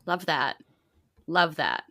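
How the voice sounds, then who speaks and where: A woman's voice: deep voice, sing-song, slow pace, pushed and bright, dry recording, studio quality. A woman with a New Zealand accent hosting a chatty live stream as game master, with a playful, silly manner. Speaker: game master and podcast host.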